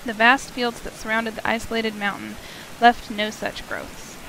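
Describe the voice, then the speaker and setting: High-pitched woman narrating a story. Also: little pitch movement, measured pace, vocal fry, a little hiss or hum, rough recording. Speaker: narrator, game player. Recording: loud music and rain behind. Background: game music and rainfall.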